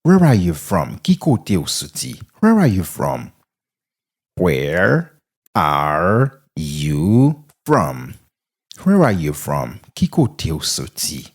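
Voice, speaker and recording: A man with a Haitian Creole accent teaching English, repeating each phrase slowly word by word and explaining in Creole. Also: low voice, wildly swooping pitch, breathy edge.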